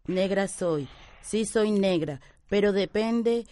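Mellow-voiced woman, reflective. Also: medium pitch, takes it slowly. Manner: reflective, circling back on the same phrases. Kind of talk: interview testimony.

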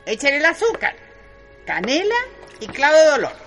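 An actress playing a bossy, hearty country woman in a radio drama, with a rural Chilean accent and a brisk, colloquial way of instructing. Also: high voice, very animated, fairly bright tone, soft background music.

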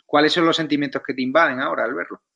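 A man's voice: on the high side, steady tone, very fast, creaky, bright, forceful tone, lo-fi sound. A man with a Spanish accent from Spain, putting conversational questions as a political interviewer.

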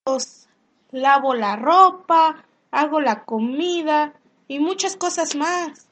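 Sassy high-pitched housemaid played by a woman. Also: animated, fairly bright tone, exaggerated voice. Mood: blunt, suspicious. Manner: cheeky, colloquial, quick to talk back. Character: sassy, blunt housemaid, grumbling about hard work. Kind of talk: Spanish-language radio soap acting.

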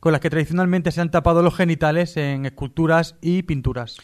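A man's voice: on the high side, steady tone, fairly quick. A man co-hosting a comedy radio show, reading mock news and slipping into casual banter.

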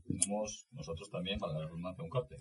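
A man's voice: low voice, fairly even intonation, quick pace, background noise. A man hosting a casual panel discussion, introducing subjects and asking the others for opinions. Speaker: podcast host.

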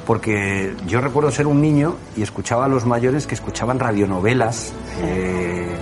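A man's voice: mid-range voice, moderate pace, music in the background. A man with an accent from Spain, hosting a radio show and interviewing guests in a casual, conversational style.

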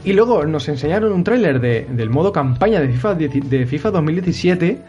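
A man's voice: on the high side, expressive, a little fast, warm tone, muffled recording, soft background music. A young man from hosting a casual video game chat, rambling with restarts and swearing. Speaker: podcast host.

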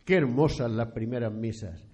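An actor playing a pious, stately eighteenth-century Spanish landowner in a radio play, speaking in a solemn, formal and courteous old-fashioned way. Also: male voice, mid-range voice, moderate pace, darkish tone, breathy edge, natural voice. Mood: reverent, nostalgic. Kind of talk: scripted historical radio play. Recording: dull, muffled lo-fi recording.

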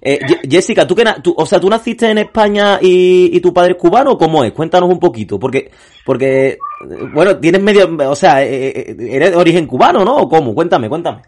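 A man's voice: fairly high pitch, animated, fairly quick, a little mellow. A man with an Andalusian accent hosting a radio interview, asking questions hesitantly with many restarts and frequent "ujú" to show he is listening.

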